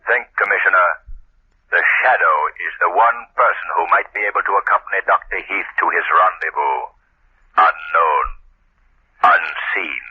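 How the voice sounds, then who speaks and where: An actor playing a mysterious unseen male vigilante in a 1930s radio drama, speaking low and slow in a husky, menacing voice. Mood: sinister. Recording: tinny old radio broadcast with hiss.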